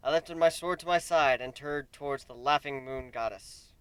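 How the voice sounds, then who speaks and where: A man reading a first-person story aloud in a theatrical, exasperated way, dropping low and bursting loud. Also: normal speed, clean tone, no echo, rough recording. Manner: theatrical, exasperated delivery, swinging from hushed to shouted. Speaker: story reader.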